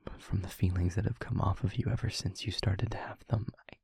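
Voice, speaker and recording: A man playing a weary demon in an intimate audio roleplay, speaking earnestly and haltingly in a breathy half-whisper. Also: low-pitched, fairly even intonation, darkish tone, dry recording, clean studio sound, heavily acted. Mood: tender, careful. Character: weary male demon confiding a painful truth. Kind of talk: scripted audio roleplay, intimate monologue to listener. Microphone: close microphone, dry.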